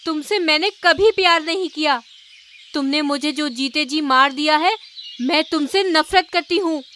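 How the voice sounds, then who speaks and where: Woman acting a distressed young widow, extremely high voice, anguished, cartoon horror story, faint noise behind. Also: a little slow, bright, forceful tone. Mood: angry, hurt. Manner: anguished, emphatic. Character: distressed, haunted young widow pleading and defiant.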